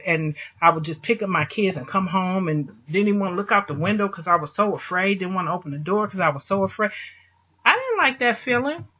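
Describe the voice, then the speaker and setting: A man's voice: sits high, expressive, fairly quick, dull audio. A man giving an impassioned, faith-filled motivational monologue as a vlogger, emphatic and repeating phrases to drive points home. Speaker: vlogger answering a listener.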